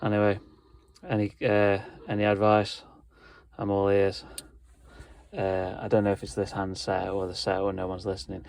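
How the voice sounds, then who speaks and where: A man's voice: fairly low pitch, monotone, normal speed, creaky, a little mellow, no echo. A man giving a casual, mild and self-deprecating vlog talk. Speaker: video host.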